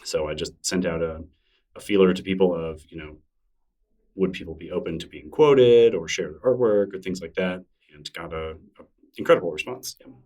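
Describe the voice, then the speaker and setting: A man's voice: low voice, moderate pace, a little mellow, recorded from a distance. A man answering interview questions in a casual, enthusiastic way, laughing easily as he talks. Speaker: podcast guest, newsletter creator.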